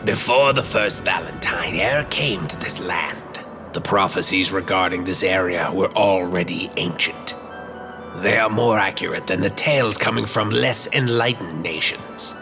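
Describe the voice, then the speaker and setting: Deep, gravelly, condescending sage. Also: male voice, measured pace, music in the background. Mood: knowing. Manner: formal, archaic, condescending. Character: haughty, composed learned man, coolly needling rivals. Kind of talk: scripted fantasy video game acting.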